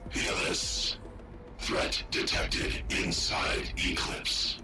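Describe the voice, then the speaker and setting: An actor playing an ancient, malevolent war-machine intelligence in a video game, with a synthetic booming voice and terse, imperious declarations. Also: male voice, drawn-out words, full character voice. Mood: commanding, menacing. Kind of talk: scripted video game acting. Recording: loud music and rumble behind.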